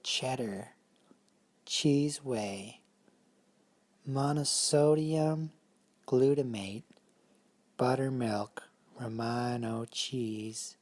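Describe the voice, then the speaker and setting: A woman's voice: very deep voice, darkish tone, full character voice. A woman playing a nervous, earnest amateur poet in improvised radio comedy, speaking hesitantly and solemnly. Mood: solemn reciting. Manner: hesitant, halting, solemn recitation.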